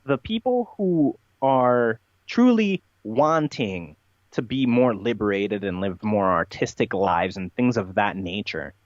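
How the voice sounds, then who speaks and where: A man's voice: on the low side, expressive, slow, no echo, dull audio. A man giving unscripted interview answers as a podcast guest, explaining at length in an emphatic, rambling way with repeated phrases.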